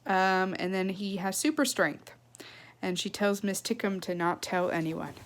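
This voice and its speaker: A woman reading a children's novel aloud with expressive, emphatic phrasing and casual asides. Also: mid-range voice, unhurried. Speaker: read-aloud storyteller.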